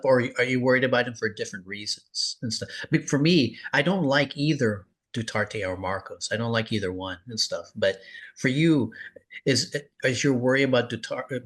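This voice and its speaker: A man with a medium-pitched voice leads a casual interview. He talks earnestly and in a rambling way, circling back over his phrases and tacking on the same tags. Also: a bit bright, husky. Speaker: cartoonist interviewing a peer.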